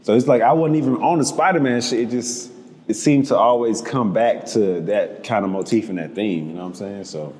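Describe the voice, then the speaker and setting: A man's voice: on the low side, a little fast, a little mellow. A young man from Georgia, a painter guest in a casual interview, talking laid-back with lots of slang and swearing. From Georgia, United States.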